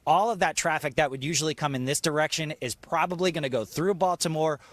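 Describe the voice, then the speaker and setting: A man's voice: on the high side, fast talker, no echo. A man reporting for TV news, moving between narration and live explanation from the field.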